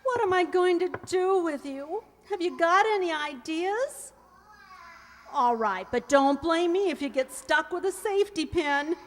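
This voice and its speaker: An actress plays a weary, wisecracking young working woman in a radio comedy. Her voice is high and swoops widely at a slow pace, recorded in a reverberant hall. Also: animated. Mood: flustered, wry. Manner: wry, exclamatory. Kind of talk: old-time radio comedy acting. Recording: echoey hall, audible background noise.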